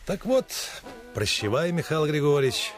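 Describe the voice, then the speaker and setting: An actor in a Russian radio play playing a gruff but kindly sailor soldier who instructs in earnest, colloquial speech. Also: male voice, a little high, big pitch swings, moderate pace, soft background music. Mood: earnest, warning. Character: gruff, kindly adult Red Army sailor mentor. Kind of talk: Russian radio drama acting.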